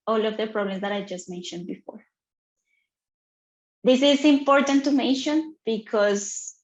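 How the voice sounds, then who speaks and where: A woman from Colombia with a Colombian Spanish accent, a native Spanish speaker, presenting earnestly on a panel in halting English with restarts over a video call. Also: mid-range voice, roomy sound, lo-fi sound. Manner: earnest, halting second-language phrasing with restarts.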